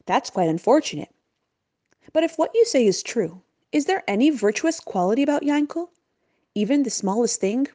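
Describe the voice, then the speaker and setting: A woman voicing a friendly, persistent male travelling preacher in a storybook tale, with animated, courteous persuasion. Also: a little high, moderate pace, pitched above the performer's own voice. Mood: concerned.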